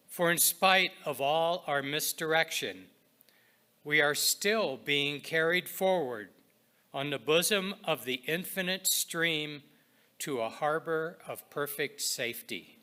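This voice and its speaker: Slow, bright-voiced man leading devotions. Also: on the high side. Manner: deliberate, reverent, short phrases with pauses. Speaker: spiritual practitioner leading readings and prayer at a service. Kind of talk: inspirational readings and spoken prayer.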